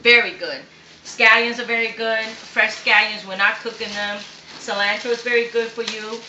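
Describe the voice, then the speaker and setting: A woman's voice: a little high, fairly even intonation, moderate pace, dull audio. A woman born in Vietnam who hosts a home cooking show, demonstrating step by step in a chatty way and often checking in with okay. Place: home kitchen. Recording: echoey kitchen with faint background noise.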